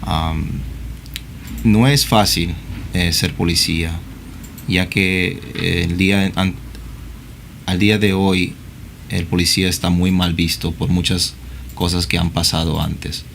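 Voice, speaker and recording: A man, a police officer, speaking in a measured way with fillers and tag questions. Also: deep voice, steady tone, gravelly, a bit bright, slight background noise. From Peru. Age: 30s.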